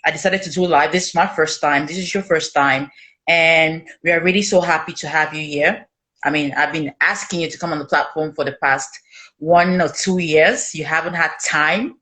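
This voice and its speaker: Low-voiced woman hosting a chat. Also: fairly even intonation, moderate pace, pushed and bright. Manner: chatty, laughs often, stumbles over words. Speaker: host. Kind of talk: casual interview chat.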